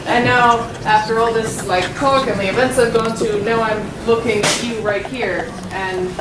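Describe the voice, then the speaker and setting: A woman's voice: on the high side, fairly even intonation, normal speed. A woman in the audience chatting casually with the speaker, often using "like" as a filler. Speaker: audience member. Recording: echoey far-off room recording with noise.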